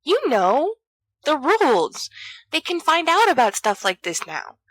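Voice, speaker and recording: A woman's voice: high voice, sing-song, a little slow, no room sound, voice pushed up. A woman voicing a timid, anxious schoolboy wizard in a storybook reading, faltering and muttering.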